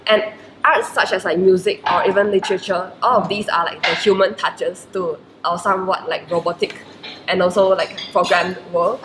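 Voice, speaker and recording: A woman's voice: on the low side, fairly even intonation, moderate pace. A woman in her twenties whose first language is Chinese, speaking Malaysian English, answering interview questions in a reflective way and filling her sentences with "like". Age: 20s. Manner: reflective, many "like" fillers. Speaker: university student guest. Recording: roomy echo with faint noise.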